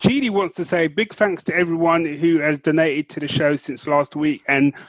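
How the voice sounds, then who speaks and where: A man's voice: a little high, fairly even intonation, normal speed, fairly bright tone, no room sound, poor audio. A British man co-hosting a podcast in casual, playful, slangy and teasing banter. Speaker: podcast co-host.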